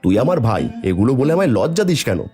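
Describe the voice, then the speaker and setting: A man's voice: medium pitch, big pitch swings, fast talker, faint music behind, close to an everyday voice. A man playing a kind, trusting, poor village shopkeeper in a cartoon, speaking earnestly. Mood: kindly, trusting. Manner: earnest, gentle, plaintive when wronged. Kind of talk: cartoon dialogue acting.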